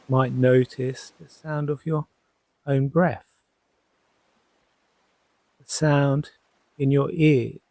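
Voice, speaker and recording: A man guiding a sleep hypnosis session as a hypnotherapist, soothing and gently reassuring, leaving long pauses between short phrases. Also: medium pitch, gravelly, no echo. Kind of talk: guided sleep hypnosis narration.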